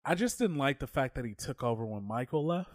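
A man's voice: mid-range voice, expressive, a little fast, no echo, studio quality. A man co-hosting a podcast in casual chat, laid-back and a little hesitant, sometimes defensive. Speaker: podcast co-host.

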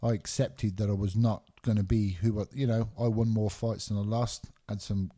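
A middle-aged man from Scotland who grew up in England, speaking with a dark, mellow tone. He tells long, meandering stories with frequent fillers and restarts, in a relaxed podcast chat. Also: lowish voice, normal speed. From Scotland, raised in England. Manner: candid, rambling storyteller with many fillers. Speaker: MMA referee, guest. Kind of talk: casual podcast interview.

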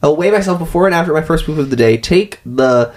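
Excitable young man with very lively pitch swings. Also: fast. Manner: teasing, goofy, excitable. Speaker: podcast co-host. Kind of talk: casual comedic podcast chat.

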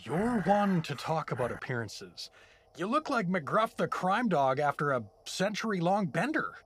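A man's voice: sits high, very animated, unhurried, fairly bright tone, a little hiss or hum, exaggerated voice. An actor playing an indignant, sarcastic everyman from another world who is imprisoned in a comedic fantasy audio drama. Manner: exasperated, complaining, wisecracking.

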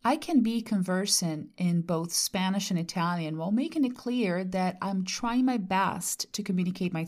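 A woman podcast host from Brazil, a native Portuguese speaker, telling a measured, reflective personal monologue. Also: on the low side, darkish tone.

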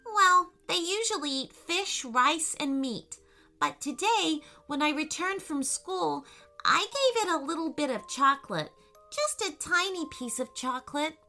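A woman's voice: sits high, expressive, measured pace, sharp tone, faint music behind, voice pushed up, heavily acted. A woman voicing an innocent, anxious young girl who loves animals, earnest and pleading, in a children's story.

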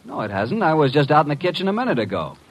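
An actor playing a wry, cheeky, streetwise detective's assistant with a breezy, mock-polite manner, in 1950s radio drama style. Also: male voice, on the high side, very animated, fast, breathy edge. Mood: peeved, skeptical.

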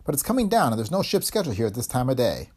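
Man playing an excitable scientist's assistant, husky. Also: medium pitch, rapid-fire. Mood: puzzled.

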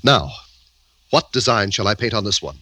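Young officer lover, teasing, very swooping intonation, husky, vintage radio drama. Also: male voice, fairly low pitch, sing-song, moderate pace, sharp tone, full character voice. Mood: playful. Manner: playful, teasing. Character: playful young male lieutenant, carefree lover. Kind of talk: 1950s radio drama acting.

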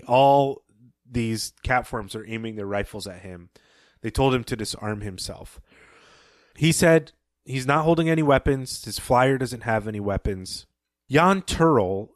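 A man with a low voice recaps a story for his podcast co-host and listeners. He retells the plot plainly, with quick asides. The sound is dry and close to the mic. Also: dry recording.

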